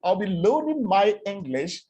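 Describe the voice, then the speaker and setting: A man from Nigeria with an Igbo accent, lecturing on English speech for presenters in a deliberate way, repeating words and giving examples. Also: high-pitched, very animated, darkish tone. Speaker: presentation coach. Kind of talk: spoken lecture on English diction.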